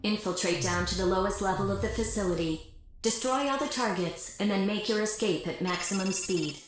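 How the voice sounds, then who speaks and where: An actress playing a composed military operator, giving a formal, procedural mission briefing for a mech game. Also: female voice, medium pitch, brisk, lightly acted. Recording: very echoey, music underneath.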